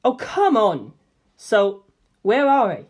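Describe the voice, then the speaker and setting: A woman's voice: mid-range voice, sing-song, slow, a little mellow. A woman voicing a bewildered, plain-spoken young male hero in a storybook reading, reacting in a casual, puzzled manner.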